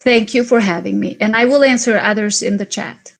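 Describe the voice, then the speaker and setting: A woman's voice: medium pitch, fairly quick, gravelly. A woman whose first language is Albanian, answering questions thoughtfully as a speaker in an online conference. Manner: thoughtful, restarts and fillers, emphatic. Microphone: video call.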